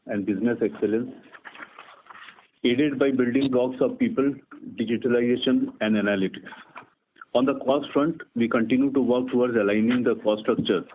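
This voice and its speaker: A man with an Indian accent reading a formal, measured scripted business presentation as a company executive. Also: medium pitch. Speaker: company executive on an earnings call. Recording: tinny phone line with clear background noise.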